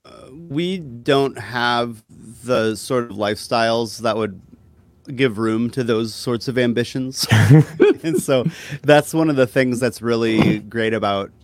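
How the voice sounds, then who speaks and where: A man chatting casually as a musician being interviewed, talking in a laid-back, self-deprecating way with halting phrasing. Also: mid-range voice, dry recording. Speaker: musician guest.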